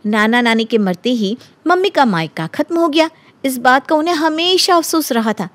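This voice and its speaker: A woman voicing a soft-hearted, earnest and anxious young woman, plaintive and sincere, in an animated story. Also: high voice, moderate pace. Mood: wistful. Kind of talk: animated Hindi story character acting.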